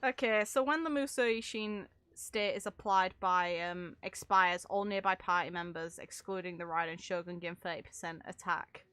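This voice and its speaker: A woman game streamer giving casual, chatty gameplay commentary, thinking aloud in an excitable way. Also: fairly low pitch, moderate pace, hardly any pauses, smooth.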